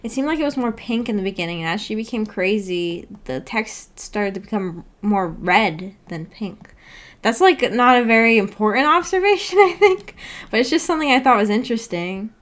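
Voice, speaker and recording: A woman hosting a casual livestream discussion, musing tentatively out loud with a giggly manner. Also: fairly high pitch, expressive, moderate pace. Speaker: host of a book club stream.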